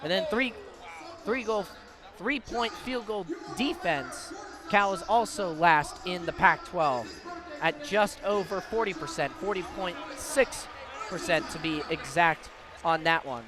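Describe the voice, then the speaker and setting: High-pitched American man calling basketball. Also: a little slow, over music. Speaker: radio play-by-play basketball commentator. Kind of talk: live sports play-by-play commentary. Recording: live broadcast with clear background noise. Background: basketball game ambience, bouncing ball.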